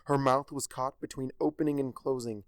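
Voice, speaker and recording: A man reading a story aloud with a wry, expressive delivery. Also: mid-range voice, normal speed, dry recording, studio quality. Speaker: fanfiction narrator.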